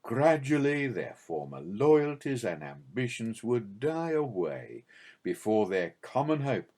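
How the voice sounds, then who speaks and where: A man reading a religious audiobook as scripted narration, in a measured, formal and expressive way. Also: medium pitch.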